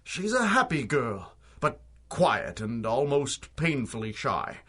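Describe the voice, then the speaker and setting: A middle-aged, wealthy father with a Canadian accent, husky and bright-toned, who blusters and frets. His voice swings widely and he speaks slowly for all his agitation, in the manner of a radio play. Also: medium pitch, sing-song, full character voice. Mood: worried. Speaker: father of missing girl. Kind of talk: radio drama acting.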